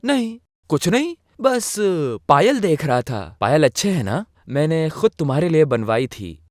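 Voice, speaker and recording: A woman voicing a nervous, defensive young groom in an animated story. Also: low voice, unhurried, no room sound, voice pushed down.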